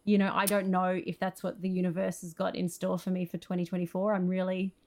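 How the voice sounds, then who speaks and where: Woman, Australian accent, lowish voice, little pitch movement, earnest, effusive, conversational interview guest. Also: little pitch movement, brisk, darkish tone. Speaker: podcast guest, screenwriter.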